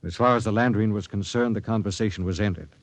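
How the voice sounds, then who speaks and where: Man playing a low-voiced, stern space patrol captain. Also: no echo, natural voice. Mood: grave narration. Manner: authoritative, measured. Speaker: captain and narrator. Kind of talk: 1950s radio drama acting. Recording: dry, band-limited 1950s radio recording.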